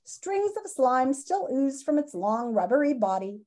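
A woman in her fifties reading a children's nonfiction book aloud in a dramatic, teacherly way with emphatic pauses, on a video call from a room at home. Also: high-pitched, very animated, mellow. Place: small home room, indoors. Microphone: video call, computer microphone.

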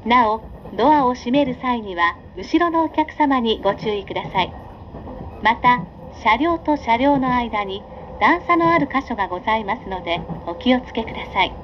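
A woman's voice: fairly high pitch, animated, moderate pace. A woman reading scripted onboard train announcements in a polite, formal, carefully enunciated way. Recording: dull lo-fi recording with train noise. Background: train ambience.

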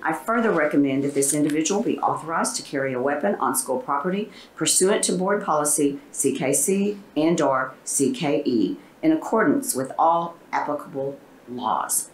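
A woman chairing a school board meeting, reading motions and procedure in a brisk, formal way. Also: low voice, animated. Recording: echoey meeting room.

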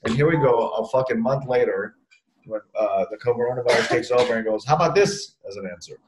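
A man's voice: mid-range voice, brisk, noisy background. Joking, swearing man on a video call. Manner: joking, swears freely, laughs often. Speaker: show host. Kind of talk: casual chat on a video call. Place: living room at home. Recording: echoey room audio.

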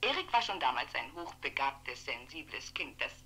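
An actress playing an earnest, self-justifying middle-aged former lover in a 1960s radio play, pleading emotionally through a telephone. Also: female voice, extremely low pitch, big pitch swings, normal speed, pushed and bright, full character voice. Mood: insistent pleading. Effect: heard through a telephone. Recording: noisy, humming old telephone line.

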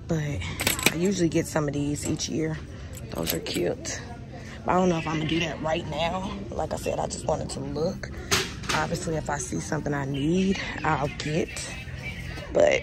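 A young woman vlogger, chatting casually to her viewers and calling them y'all. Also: low voice. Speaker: lifestyle vlogger. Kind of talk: casual chat to camera. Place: home, then shopping in a store.

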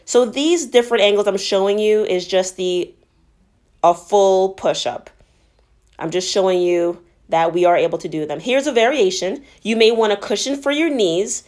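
A woman's voice: medium pitch, moderate pace, a little mellow. A woman narrating a workout as a fitness instructor, explaining each move in an encouraging, instructional way.

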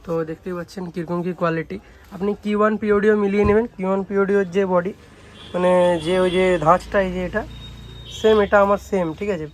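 A man's voice: sits high, steady tone, quick pace, slight background noise. A young man with a West Bengali accent giving an informal product showcase, often adding short check-in tags as he talks. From West Bengal, India. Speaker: speaker repair shop presenter.

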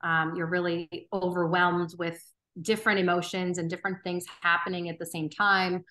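A woman guest on a podcast, talking earnestly and thoughtfully with frequent pauses and restarts. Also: lowish voice, level tone, sharp tone. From Romania. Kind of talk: reflective interview conversation.

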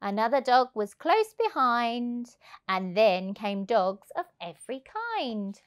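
A woman, around her thirties, reading a children's rhyming storybook aloud in an expressive, rhythmic way. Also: fairly high pitch, slow pace. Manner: animated, rhythmic, emphatic delivery. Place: indoors on a sofa. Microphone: clip-on lapel mic.

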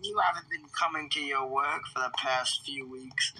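A man's voice: mid-range voice, steady tone, moderate pace, bright, forceful tone, poor audio. A man roleplaying a taunting, short-tempered animatronic villain in a casual online game, speaking with menace. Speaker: player in a Minecraft roleplay. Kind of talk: in-character gaming roleplay with friends.

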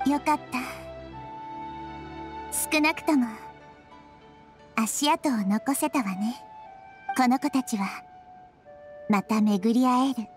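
A woman voicing a small, wise, gentle girl deity in an anime-style game, soft and thoughtful. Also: a little high, slow, long pauses, soft background music. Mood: tender, consoling.

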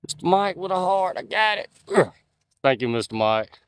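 A man in his forties talks to his viewers as he walks through the woods at night, holding his phone on a gimbal. His voice is smooth and clear and sits at an ordinary pitch, with dry, close sound and no room echo. He rambles in a folksy way, reading out chat names. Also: very animated, normal speed. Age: 40s. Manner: chatty, folksy, reads out viewer comments. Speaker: outdoors livestreamer. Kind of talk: casual live-stream chat with viewers. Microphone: phone on a handheld gimbal.

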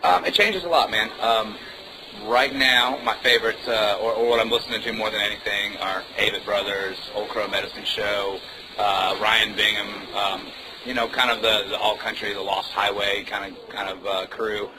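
A male musician talks in a relaxed, rambling way, his voice sitting a little low. Clear room noise from a music club runs under his words. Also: moderate pace. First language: Indonesian. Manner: relaxed, rambling, many fillers and "man". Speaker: musician, interview guest. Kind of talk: casual interview chat.

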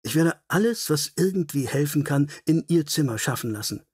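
An earnest, melancholy English lord , played by a male audiobook narrator, gracious and dignified. He speaks with formal sincerity in a husky voice, recorded dry and close. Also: fairly high pitch, lively intonation, normal speed, darkish tone, dry recording. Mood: grateful, gracious.

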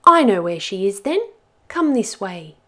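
A woman's voice: mid-range voice, sing-song, measured pace, heavily acted. A woman voicing an eager, helpful but muddled butterfly in a storybook, encouraging and exclamatory. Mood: eager, confident. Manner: bright-eyed, encouraging, exclamatory.

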